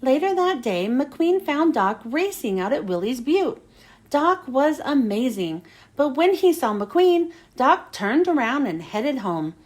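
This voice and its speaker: A woman reads a children's story aloud. Her voice is high and swings up and down a lot, with dramatic emphasis on the storytelling. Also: very animated, slow. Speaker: children's book reader.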